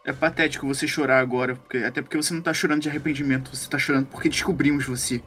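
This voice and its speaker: A man's voice: medium pitch, steady tone, racing, fairly bright tone, a little hiss or hum, voice pushed down, natural voice. A man playing a scornful detective in a tabletop game, accusing and sarcastic. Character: scornful male detective interrogating a culprit.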